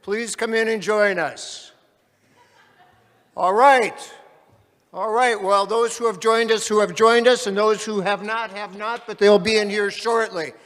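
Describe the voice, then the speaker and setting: Booming man addressing a conference hall. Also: fairly even intonation, unhurried. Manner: loud, booming, calls out to the crowd. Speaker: panel moderator. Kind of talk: rally speech at a conference. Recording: reverberant hall.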